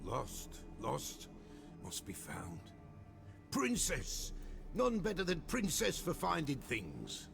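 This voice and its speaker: Gravelly, excitable folk seer. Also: male voice, fairly high pitch, slow pace, heavily acted. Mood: anxious, distressed. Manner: archaic riddling speech, refers to himself in third person. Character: eccentric superstitious folk seer, adult man, excitable and cryptic. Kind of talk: theatrical fantasy game acting. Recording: music behind the voice.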